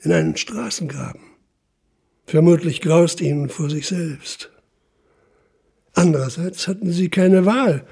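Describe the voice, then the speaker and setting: An actor playing a methodical, relentless police official in a German radio play, speaking insistently and deliberately. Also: male voice, on the high side, very animated, creaky, a little mellow, breathy edge, exaggerated voice. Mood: accusing, deliberate.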